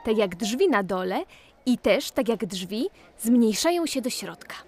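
A sensible big-sister girl in a children's audio play. Her high voice is gentle and a little breathy, explaining things at a slow, measured pace. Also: sing-song, full character voice.

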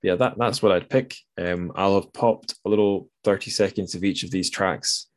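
A man's voice: deep voice, steady tone, normal speed. A man hosting a podcast in a casual, conversational style, informally thinking aloud as he goes. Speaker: podcast host.

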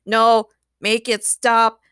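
A woman voicing a distressed android soldier in a story, shouting and pleading in drawn-out cries. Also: a little high, monotone, clear, resonant tone, dry recording, studio quality, lightly acted. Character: robot android in agony, pleading, plural hive soldiers.

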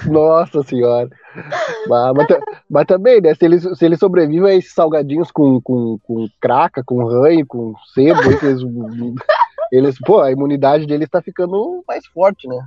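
A man from Brazil, a teacher hosting a podcast in casual chat, talkative and quick to laugh. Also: fairly high pitch, sing-song, dry recording, highs cut off. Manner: chatty, laughing often, drawn-out asides.

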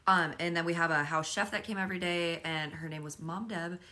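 Low-voiced bright young woman. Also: steady tone, fairly quick. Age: young adult. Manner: earnest, filler-heavy storytelling. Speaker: podcast guest. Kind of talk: casual chat.

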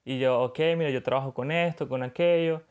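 A man's voice: medium pitch, fairly even intonation, fairly quick, over a noisy crowd. A man with a Venezuelan accent that has a slight Colombian tinge, chatting casually and acting out dialogue as he tells stories. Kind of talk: casual chat.

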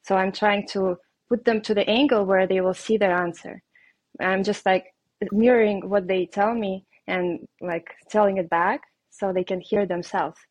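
A woman's voice: mid-range voice, steady tone, normal speed, no echo, poor audio. A woman from Russia with a Russian accent, speaking English as a guest coach in an interview, hesitating often with ums. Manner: hesitant, many ums, laughs lightly. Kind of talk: casual interview answers.

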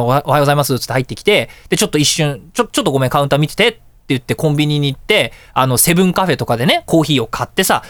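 A man hosting a radio show, telling everyday anecdotes in a casual, excitable way and acting out little exchanges. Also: fairly high pitch, no echo. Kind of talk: casual storytelling radio talk.

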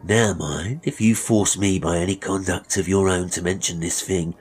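A man's voice: low voice, fairly even intonation, moderate pace, breathy edge. A man voicing a menacing, mocking murderous barber in a Victorian melodrama story. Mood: threatening. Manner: mocking, threatening, chuckling, oily when polite. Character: menacing, sneering murderous male barber, gloating villain.

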